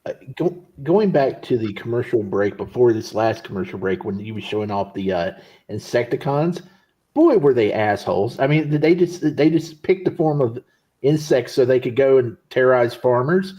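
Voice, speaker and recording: A man of medium pitch takes part in a casual panel chat. His delivery is blunt and joking, with a halting habit of repeating words before he gets going. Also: animated, warm tone, highs cut off. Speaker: panelist on a toy show.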